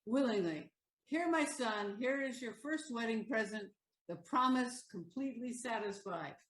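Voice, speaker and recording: A woman's voice: a little high, lively intonation, normal speed, echoey room, lightly acted, rough recording. An actress playing a middle-aged, sentimental mother in an audio play, earnest and motherly. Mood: tender. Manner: earnest, motherly, formal speech.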